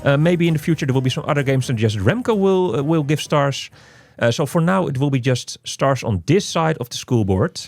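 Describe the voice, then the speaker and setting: A man's voice: on the high side, lively intonation, fairly quick, creaky, hardly any pauses, darkish tone, faint music behind. A man casually hosting an unscripted video game review, chatty and rambling, often repeating words and phrases. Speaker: host of a game review channel.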